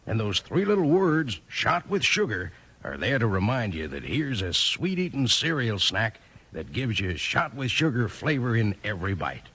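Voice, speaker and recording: Lively, husky radio pitchman. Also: moderate pace. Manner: folksy cowboy sales patter. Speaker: commercial announcer. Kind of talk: 1950s radio advertisement pitch.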